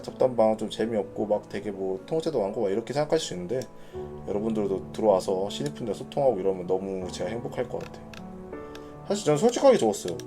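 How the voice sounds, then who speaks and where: Mellow, animated man hosting an online announcement. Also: medium pitch. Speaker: gaming clan leader hosting announcement broadcast. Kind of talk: informal live announcement, reading notes and commenting.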